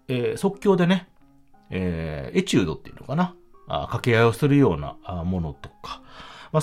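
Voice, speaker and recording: Male podcast host, low register with very lively intonation, polite presenter phrasing in casual talk. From Kansai, Japan. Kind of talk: casual solo chat to listeners.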